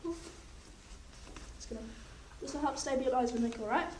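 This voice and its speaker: Young woman, paramedic student, high voice, hoarse from losing her voice, recorded far off in an echoey room. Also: normal speed. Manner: calm, instructive, talks the patient through each step. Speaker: paramedic student in a practical exam. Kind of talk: narrating a clinical skills demonstration. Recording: echoey, distant, noisy room.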